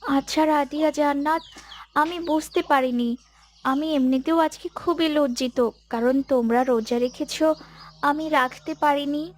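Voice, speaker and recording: A woman's voice: sits high, fairly even intonation, unhurried. A woman voicing a polite, naive young girl in a cartoon, with an artless way of talking. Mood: sheepish. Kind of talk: cartoon dialogue.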